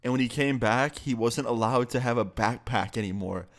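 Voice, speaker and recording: A man's voice: mid-range voice, moderate pace. A young man, a bit sick, telling a casual, profane story on his vlog. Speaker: YouTuber.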